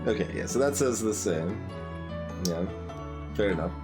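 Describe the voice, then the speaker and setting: A man giving casual game commentary as a streamer, halting and thinking aloud as he chats with viewers. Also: fairly low pitch, animated, over music. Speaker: livestreamer, game playthrough host.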